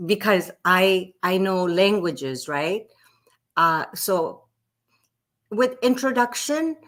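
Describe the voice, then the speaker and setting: A woman in her fifties from Pakistan, a French teacher telling her life story in an interview, with frequent restarts. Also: mid-range voice. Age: 50s. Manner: slow, reflective storytelling with repeated restarts. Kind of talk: casual interview talk.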